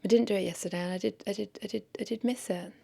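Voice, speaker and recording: A woman's voice: mid-range voice, steady tone, racing, vocal fry, warm tone, slightly rough. A woman talking casually in an unscripted vlog, rambling and correcting herself as she thinks aloud. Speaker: content creator, vlogger.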